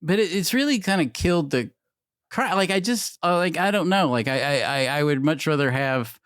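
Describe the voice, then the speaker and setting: A man in his forties co-hosting a casual podcast chat, animated and swearing, with many restarts. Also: a little high, fairly quick, no echo, studio quality. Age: 40s. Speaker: podcast co-host.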